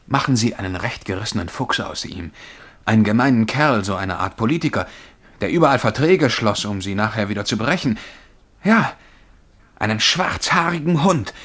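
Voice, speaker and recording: An actor playing a dreamy, self-assured young amateur poet in a radio drama, with an offhand way of talking. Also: male voice, lowish voice, a bit bright. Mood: insistent. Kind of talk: German radio drama acting.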